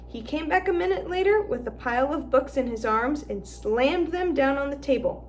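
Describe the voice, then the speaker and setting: Teacher narrator, female, clear and expressive storybook reading, fairly high. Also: normal speed, slight background noise. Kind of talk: storybook read-aloud narration.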